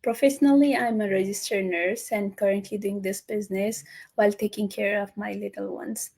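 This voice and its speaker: Young woman from Nepal, live-stream host, mellow voice, halting with ums. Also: medium pitch, steady tone, creaky, breathy edge. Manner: hesitant, many fillers, warm welcomes. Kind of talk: live online business interview.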